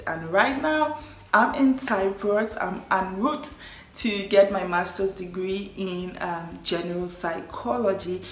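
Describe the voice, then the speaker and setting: Young liberian woman vlogging, chatty, keeps saying yes, roomy echo, bit of hum behind. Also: mid-range voice. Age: young adult. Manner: chatty, affirming herself with frequent "yes". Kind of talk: casual personal vlog. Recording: echoey room with faint ambient noise.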